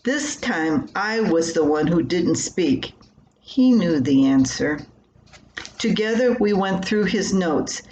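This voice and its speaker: An older woman reading her own homespun column aloud in an unhurried, plainspoken manner. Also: fairly low pitch, darkish tone. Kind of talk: homespun scripted storytelling, read aloud. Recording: roomy echo with faint background noise.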